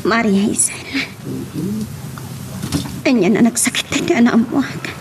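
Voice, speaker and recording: A woman's voice: a little high, slow pace, heavy background noise. A woman playing a suffering, plaintive wife and mother in an Ilocano audio drama. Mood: hurt, weeping. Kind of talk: Ilocano radio drama acting.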